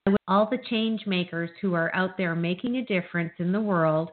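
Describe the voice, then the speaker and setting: Lower-voiced woman host on a phone line. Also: fairly quick, background noise. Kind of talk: interview hosting.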